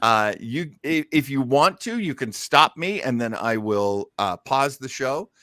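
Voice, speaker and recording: A man's voice: medium pitch, animated, a little slow, sharp tone, no echo, clean studio sound. A man hosting a show in a casual, unscripted way, shifting between theatrical announcing and rambling chat. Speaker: show host.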